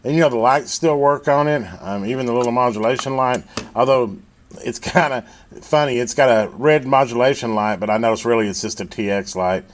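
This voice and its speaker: A man talking casually through a hands-on repair as a technician, folksy and thinking aloud at his own unhurried rate. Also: mid-range voice. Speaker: radio repair technician and video host. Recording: loud electrical hum and background noise.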